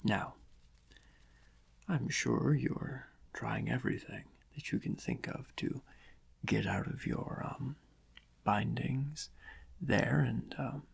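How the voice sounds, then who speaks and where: A man playing a smug, theatrical criminal mastermind in a soft-spoken ASMR roleplay, breathy and husky, savouring his words with pauses. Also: mid-range voice, exaggerated voice. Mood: gloating, delighted. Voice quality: breathy, husky, often whispered. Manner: slow, savouring, gloating, with drawn-out pauses.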